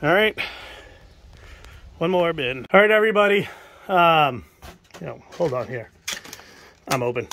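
Male vlogger, fairly high-pitched, relaxed commentary, phone mic in a cramped shed, faint background noise. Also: unhurried, pauses often. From New England. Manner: relaxed, musing aloud, talks to viewers. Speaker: estate sale buyer filming a shed cleanout. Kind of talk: casual running commentary while picking items. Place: cramped wooden shed, indoors. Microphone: handheld phone camera.

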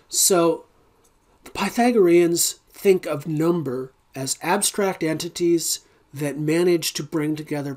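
A middle-aged man teaching a recorded philosophy lecture from his home study, thinking aloud with frequent ums and uhs, speaking into a desk webcam. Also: on the high side. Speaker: philosophy instructor.

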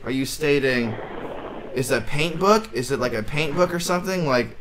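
A man in his twenties making a deadpan prank phone call, sounding puzzled, hesitant and naive. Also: a little high, normal speed, background noise. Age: 20s. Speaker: prank caller. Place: small indoor studio room.

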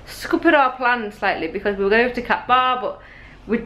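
A woman's voice: on the high side, moderate pace, sharp tone, lo-fi sound. A British woman in her thirties chatting casually and informally to a handheld camera as a travel vlogger. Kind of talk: casual handheld vlog chat. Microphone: camera at arm's length. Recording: noisy street or room ambience.

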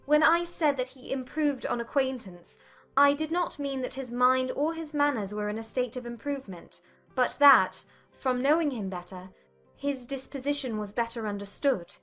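A poised young gentlewoman from a period audiobook, played by a woman, speaks in a high voice. She is guarded and quietly firm, with measured, formal phrasing. Faint music sits behind her. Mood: composed, deliberate. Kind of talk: dramatized audiobook acting of a period novel.

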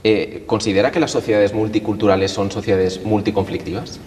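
A man hosts a local television interview, his low voice measured and polite. A lingering reverb hangs on his words, with a faint rumble underneath. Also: steady tone. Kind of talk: formal TV interview questions. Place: glass-walled pavilion with garden behind.

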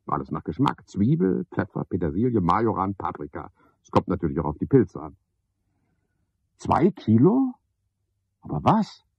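An actor playing a pedantic, misanthropic night porter in a radio drama, a man with a husky, gravelly voice and a pompous, sardonic manner. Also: deep voice, huge pitch swings, moderate pace, darkish tone, no echo, full character voice. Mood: brisk, irritated. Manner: pompous, sardonic, ornate wording. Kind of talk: 1970s German radio drama monologue. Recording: dull, dry 1970s radio recording.

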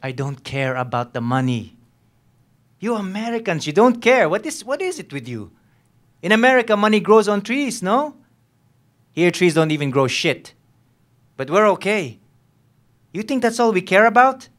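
A young man on stage acts out a volatile Filipino police lieutenant with a somewhat high male voice. He interrogates in a needling, bullying way, dropping in Tagalog slang, as part of a dramatic novel reading. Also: big pitch swings, normal speed, pauses often, fairly bright tone. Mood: taunting. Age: young adult. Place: on stage at a live reading event. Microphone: microphone on a stand.

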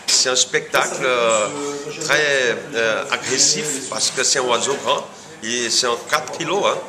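A man's voice: mid-range voice, a crowd in the background. A man in his forties with a Brazilian accent, searching for words and repeating them as he explains things in an informal interview. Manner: halting, word-searching non-native French with repeated words. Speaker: coffee farm owner being interviewed. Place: indoor room, seated before camera. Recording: clearly noisy room ambience.

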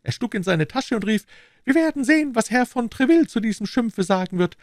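A man voicing an excitable, servile innkeeper with a high, eager, fawning way of talking. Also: a little fast, no room sound, clean studio sound.

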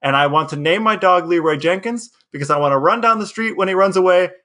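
A man's voice: high voice, quick pace, sharp tone. A man co-hosting a podcast, chatting casually and telling personal stories. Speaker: podcast host. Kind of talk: casual conversational podcast talk.

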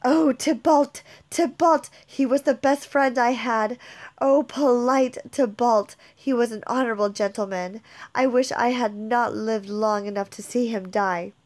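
Brief: fussy old nurse, a woman reader's voice, high and loud, wailing her laments. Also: measured pace, natural voice. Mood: lamenting.

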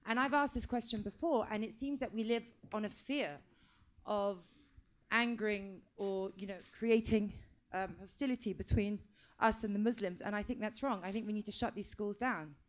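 A woman's voice: medium pitch, fairly even intonation, moderate pace. Pressing woman asking from the audience. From Britain. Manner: indignant, pressing. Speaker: audience member. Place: conference room, audience seating. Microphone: handheld microphone.

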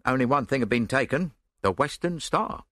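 A man's voice: medium pitch, animated, normal speed, a bit bright, dry recording, studio quality, pitched above the performer's own voice, close to an everyday voice. A man voicing a blunt, matter-of-fact Scotland Yard policeman with a grunting manner, in an audiobook story. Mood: brisk, sure.

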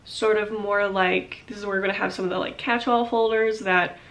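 A woman's voice: medium pitch, fairly even intonation, a little fast, fairly bright tone. Congested young woman rambling in vlog. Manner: rambling, many fillers like "um" and "like". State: congested, short of breath. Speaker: vlogger. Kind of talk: casual vlog chat. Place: small home office, indoors.

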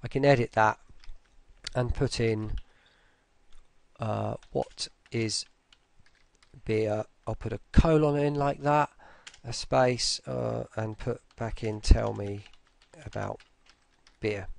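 Guy doing a casual software walkthrough, bit gravelly, dry close sound, thinks out loud. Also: mid-range voice, slow pace, dry recording. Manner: informal, thinking aloud as they go. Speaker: software tutorial presenter. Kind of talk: casual screen-recorded walkthrough.

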